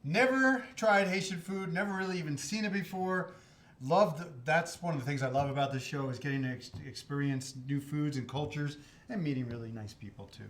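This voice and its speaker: A man hosting a studio food show, greeting viewers with drawn-out enthusiasm and chatting easily with his guest. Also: on the high side, a bit bright. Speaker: host. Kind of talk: casual interview.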